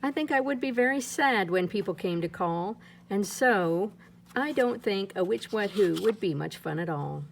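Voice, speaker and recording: A woman reading a rhyming children's picture book aloud in a playful, lilting way, her pitch swinging up and down. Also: lively intonation, normal speed, creaky, slight background noise, rough recording. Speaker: storybook reader.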